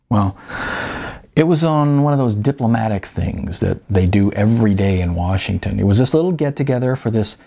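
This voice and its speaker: A man voicing a weary, self-deprecating writer of almost fifty, halting and sardonic, in an audiobook. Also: fairly low pitch, warm tone.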